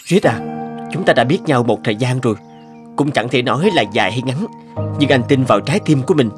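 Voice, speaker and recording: Guy doing devoted rich boyfriend in a cartoon, mid pitched, earnest n polite, music clearly behind. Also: expressive, a little fast, close to an everyday voice. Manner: affectionate, earnest. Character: devoted, courteous young rich boss and boyfriend. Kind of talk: animated drama dubbing.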